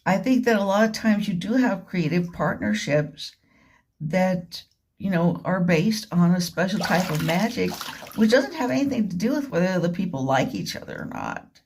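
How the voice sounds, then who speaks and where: A woman giving a rambling, informal spoken commentary, halting and repetitive, leaning on words for emphasis. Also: lowish voice, expressive, a little mellow, husky. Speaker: vlogger. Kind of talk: rambling informal monologue retelling and commenting on a radio drama.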